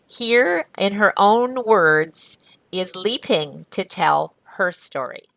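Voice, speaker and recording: A woman's voice: mid-range voice, expressive, slow pace, creaky, a bit bright, no room sound. A woman presenting a music course in upbeat, polished, scripted narration. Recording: dry studio voice-over.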